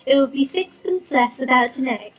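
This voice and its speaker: A narrator putting on a high, sweet, coaxing landlady. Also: breathy edge, faint music behind. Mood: sweetly obliging. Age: middle-aged. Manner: gentle, genteel, coaxing, drawn-out. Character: sweet, eerily welcoming middle-aged English landlady. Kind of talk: storybook character voice.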